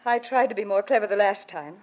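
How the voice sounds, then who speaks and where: A woman's voice: medium pitch, brisk, darkish tone, natural voice, low-quality recording. Cool, seductive radio femme fatale played by a woman. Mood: seductive menace. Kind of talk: 1940s radio mystery acting. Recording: vintage 1949 radio recording.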